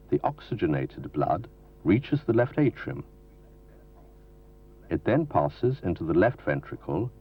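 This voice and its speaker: Deep-voiced man narrating a dated training film. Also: breathy edge. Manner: formal, measured, didactic delivery. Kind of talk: scripted educational narration. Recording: dull lo-fi 1970s film soundtrack from videotape.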